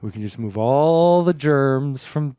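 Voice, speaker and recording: A man co-hosting a comedy podcast, chatting casually and deadpan through absurd bits. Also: medium pitch, takes it slowly, clear, resonant tone, no echo, studio quality.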